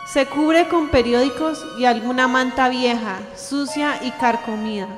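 Higher-voiced young woman narrating. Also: a little slow. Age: young adult. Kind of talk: scripted radio drama narration. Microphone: handheld microphone. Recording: echoey hall-like room, music behind.

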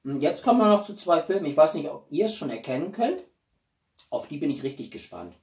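A man in his forties chatting casually to viewers as a video host, with an expressive, emphatic delivery and dramatic pauses. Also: a little high. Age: 40s. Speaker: YouTube host. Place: small living room indoors. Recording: roomy echo, distant mic.

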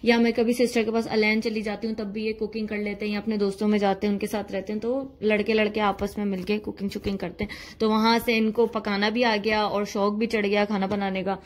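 A woman's voice: medium pitch, little pitch movement, fairly quick, hardly any pauses. A woman from Pakistan vlogging in a teasing, chatty way, filming on her phone at home and in a car. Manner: teasing, chatty, laughs often. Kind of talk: casual chat and vlog narration. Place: home kitchen, then a car at night.

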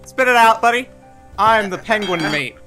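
A high, bright-voiced crime boss, played by a man, brash and crude. He rambles and swears like a bully in a comic game dub, with faint music behind him. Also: animated, moderate pace. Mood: smug, menacing. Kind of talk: improvised fan dub comedy.

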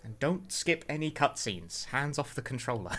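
A man's voice: mid-range voice, sing-song, normal speed, bright, forceful tone. A young man giving casual live commentary as a speedrun streamer, often repeating phrases for emphasis. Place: indoor room at home.

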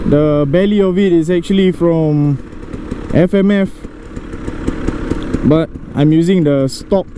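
High-pitched man vlogging over loud engine noise. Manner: halting, phrases broken by pauses. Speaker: motovlogger and rider. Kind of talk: casual vlog commentary while riding. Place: outdoors, riding a motorcycle on roads. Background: motorcycle engine, traffic.

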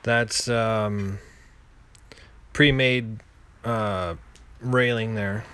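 A man in his thirties, a landlord giving a casual, halting, unscripted walkthrough voiceover. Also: on the low side, steady tone, sharp tone, smooth. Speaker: landlord and home renovator.

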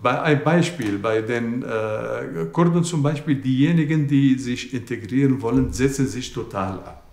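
An older man speaks haltingly in a documentary interview, pausing often with fillers. His voice sits in a middle pitch. The room around him sounds echoey. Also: vocal fry, darkish tone. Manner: hesitant, many pauses and fillers. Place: indoors by a bookshelf.

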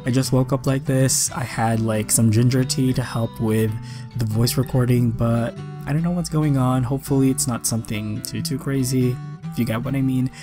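Chatty, slightly raspy young man over guitar music. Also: mid-range voice, steady tone, moderate pace. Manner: chatty, enthusiastic. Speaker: gaming video creator. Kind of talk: casual voice-over commentary on a game speed build.

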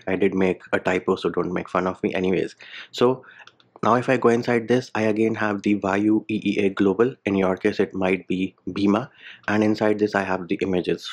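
A man narrating a screen-recorded software tutorial, explaining each step plainly with casual asides. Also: on the low side. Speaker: tech tutorial host. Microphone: voice-over over screen capture.

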